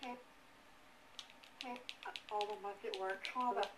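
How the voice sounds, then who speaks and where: A woman's voice: mid-range voice, steady tone, slow pace, echoey room, far from the mic, crowd noise, rough recording. A middle-aged or older woman leaving a casual phone message, heard as a voicemail played back through a device speaker.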